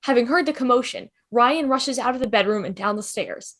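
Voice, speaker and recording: A woman's voice: on the high side, a little fast. A woman reading stage directions as scripted narration in a fan table read.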